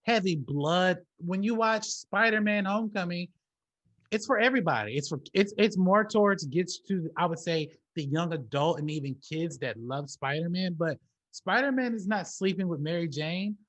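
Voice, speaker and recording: Guy hosting a movie chat show, kinda high voice, slangy, sweary, repeats words a lot. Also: moderate pace, fairly bright tone. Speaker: talk show host. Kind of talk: casual movie commentary talk.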